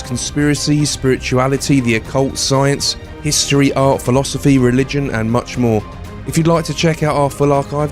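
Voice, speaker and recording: A man hosting a podcast, hoarse from a cold, talking casually with many ums and restarts. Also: medium pitch, a little mellow. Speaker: podcast host.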